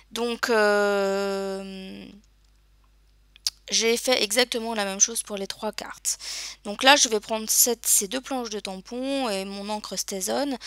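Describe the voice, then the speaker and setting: A woman's voice: mid-range voice, a bit bright, dry recording. A woman narrating a crafting tutorial over her video, speaking hesitantly with many filler sounds. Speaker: crafting channel host.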